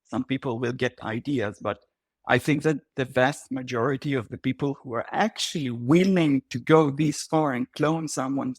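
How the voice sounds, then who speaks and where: Un hombre que participa como invitado en un panel informal y habla con titubeos y pausas frecuentes. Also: male voice, medium pitch, breathy edge, dry recording. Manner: hesitant, full of pauses. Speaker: panel guest. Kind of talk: casual panel discussion.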